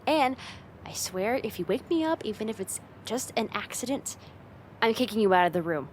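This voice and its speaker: A woman's voice: a little high, big pitch swings, moderate pace, slight background noise. A woman playing a friend's teasing older sister in a casual, conversational ASMR roleplay spoken straight to the listener. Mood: flustered, bossy.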